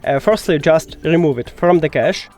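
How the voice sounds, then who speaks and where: Higher-pitched man giving a tech tutorial over music. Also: moderate pace, a little mellow. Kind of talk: step-by-step how-to narration.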